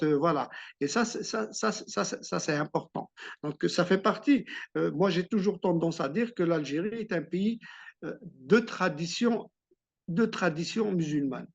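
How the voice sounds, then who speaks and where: Hesitant man, slightly high voice. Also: vocal fry. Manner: hesitant, restarts and repeats words often. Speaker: author interviewed as guest. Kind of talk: reflective interview answers. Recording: muffled lo-fi sound.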